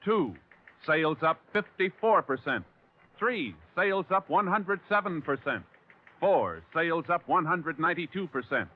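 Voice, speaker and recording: A male announcer delivers a 1950s radio commercial in an upbeat, emphatic pitchman style. His voice is fairly high and swings through a lively range. The sound is dry and tinny. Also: normal speed.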